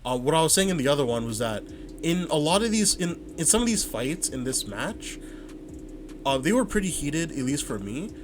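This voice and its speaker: A man calmly breaking down his own match footage, thinking aloud and stopping to rewind and check his plays. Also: a little high, moderate pace, noisy background. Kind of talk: casual vod review commentary.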